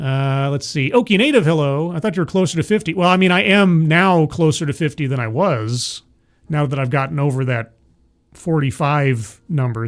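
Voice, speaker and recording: A man in his forties hosting a livestream, chatting casually with viewers and laughing at himself. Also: on the high side. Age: 40s. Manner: rambling, self-deprecating, laughs often. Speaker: livestream host. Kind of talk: casual chat with live chat.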